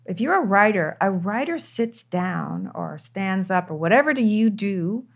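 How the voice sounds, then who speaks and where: A woman's voice: mid-range voice, animated, unhurried. An older woman, a screenwriter, talking candidly in an interview, stressing her points by repeating words. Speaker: screenwriter guest.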